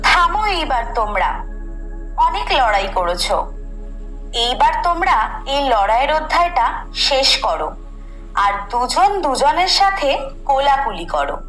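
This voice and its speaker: An actress playing Friendship personified in a scripted audio story, a wise, firm peacemaker lecturing with emphatic, deliberate phrasing. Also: female voice, high voice, very animated, fairly bright tone, heavily acted. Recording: roomy echo, music bed underneath.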